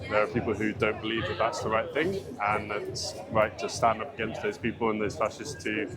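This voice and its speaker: A man's voice: on the low side, fairly even intonation, brisk, loud music behind the voice. A young man at an outdoor protest answering interview questions hesitantly, stumbling over his words.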